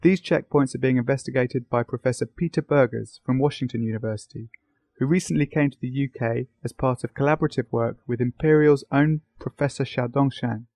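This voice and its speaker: A man's voice: medium pitch, brisk, a little mellow, dry recording. A man narrating a science video from a script as interviewer and presenter.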